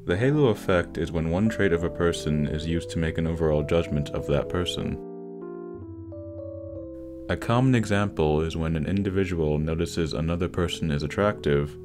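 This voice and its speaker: Male essay narrator, very low voice, scripted analytical read over clearly audible guitar music. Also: moderate pace. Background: instrumental music with plucked guitar.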